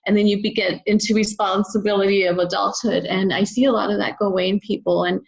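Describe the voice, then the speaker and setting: A woman's voice: mid-range voice, fairly even intonation, fast talker, vocal fry, dull audio. A woman speaking as a podcast guest, reflecting in an earnest, encouraging, conversational way. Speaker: podcast guest, children's book author. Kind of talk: conversational interview, personal reflection.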